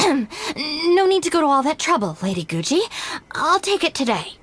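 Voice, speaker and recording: A woman's voice: sits high, big pitch swings, a little slow, a bit bright, slight background noise, heavily acted, rough recording. A woman voicing a guarded, no-nonsense young woman in a video game, reserved and matter-of-fact. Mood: polite, firm.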